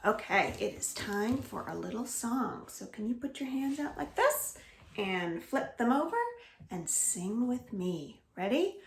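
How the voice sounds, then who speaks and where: A woman reading a children's story aloud in an expressive, deliberate way, as a storyteller for young children. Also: a little high, vocal fry, a little hiss or hum. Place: indoors at home. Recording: roomy echo of a home room.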